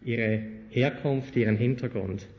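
A man's voice: medium pitch, measured pace, a little hiss or hum. A man, a pastor, interviews a guest in front of his congregation. His questions are friendly and informal, with a few fillers. The sound is muffled and carries the long reverb of a hall. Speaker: church pastor hosting the evening. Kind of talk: public interview before a church audience. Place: church hall before an audience.

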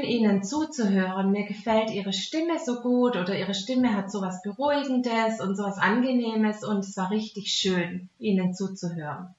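A woman with a Swabian accent gives an earnest, conversational devotional talk. Her voice sits fairly high. The recording is quite echoey and slightly dull, lacking crispness in the sound. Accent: Swabian German. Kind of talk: devotional talk mixing Bible teaching and personal testimony. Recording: roomy echo, dull muffled sound.

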